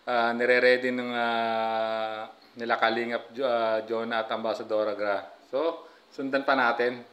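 Bright-voiced man chatting to viewers. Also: medium pitch, little pitch movement, unhurried. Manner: chatty, frequent filler "no, guys?". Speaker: reaction vlogger. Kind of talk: casual commentary over a clip.

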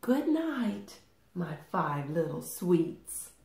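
A woman in her sixties hosting storytime, reading aloud to small children with deliberate, expressive, sing-song phrasing. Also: on the low side, gravelly, slight background noise. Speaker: storytime host. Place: living room, indoors. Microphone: camera a short distance away. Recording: roomy home recording.

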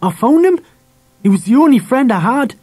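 Man voicing a defiant boy, high-pitched. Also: very animated, normal speed, dark tone, slightly rough, heavily acted. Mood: defiant, hurt. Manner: earnest, defiant. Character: defiant, hurt boy standing up to family.

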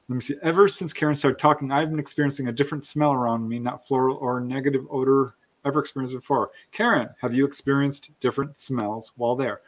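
A man hosts the interview over a video call, moderating in a steady way. He reads out questions from viewers and hands them to his guest. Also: mid-range voice. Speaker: host.

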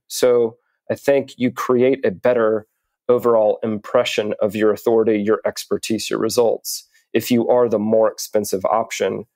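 A man speaking as an interview guest, explaining in a measured, articulate way with deliberate pauses. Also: medium pitch, a little mellow, no room sound. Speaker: podcast guest, writer and coach.

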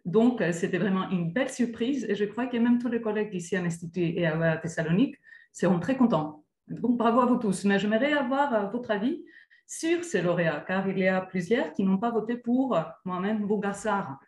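A woman panel speaker giving a measured, courteous address. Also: medium pitch, lively intonation, darkish tone, echoey room. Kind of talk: formal address.

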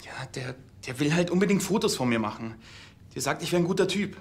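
An actor playing a bashful, awkward police officer in a TV comedy, hesitant and stammering. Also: male voice, on the high side, lively intonation, fast talker, vocal fry. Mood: embarrassed. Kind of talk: TV comedy acting.

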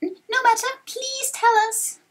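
A woman's voice: very high voice, measured pace, pitched above the performer's own voice. A woman voicing an earnest, anxious young fox creature in a video game, eager and pleading in manner.

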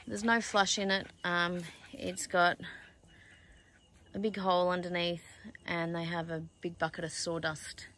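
A woman's voice: fairly low pitch, flat delivery, unhurried, fairly bright tone. A woman giving casual, off-the-cuff commentary as she explores a campsite, musing aloud and trailing off. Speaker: camper filming a walk-around vlog. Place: outdoors at a bush campsite. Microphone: handheld phone or camera, behind the lens. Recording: outdoor handheld recording with background noise.

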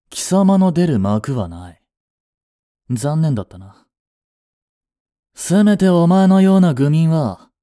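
A man's voice: a little high, dark tone, no room sound, clean studio sound. A man playing a devoted, jealous young butler in a voice drama, with formal, clipped, haughty speech. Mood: scornful, threatening. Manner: formal, polite, clipped, turning contemptuous toward a rival. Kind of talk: Japanese voice drama acting.

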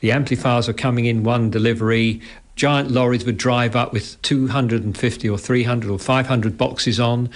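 A man's voice: medium pitch, fairly even intonation, moderate pace. A man recalling a family business in a radio interview. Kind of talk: reminiscing in an interview. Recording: vintage radio tape.

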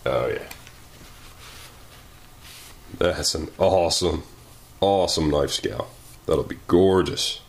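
A man's voice: very deep voice, slow pace, pauses often. A man hosting a maker channel, chatting and joking casually as he works in his garage workshop. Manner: joking, exclaiming and thinking aloud while working.

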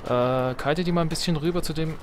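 A man's voice: a little high, fairly quick, slight background noise. A man giving casual, unscripted let's play commentary on a video game, rambling into self-justifying asides. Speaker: gaming YouTuber, let's player. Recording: game music behind the voice. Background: video game music.

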